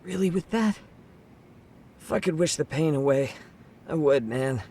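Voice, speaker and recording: A man's voice: a little high, animated, a little slow, mellow, a little hiss or hum. An actor playing a battered male sidekick in a game dub, queasy, in pain and wearily complaining. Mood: pained, weary. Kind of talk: dubbed video game cutscene acting.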